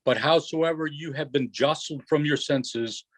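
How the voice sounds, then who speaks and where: A man reading the part of a commanding, forgiving yet stern duke and magician in an unrehearsed Shakespeare play, in a measured, declamatory way. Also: fairly high pitch, fairly bright tone. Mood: measured, grave.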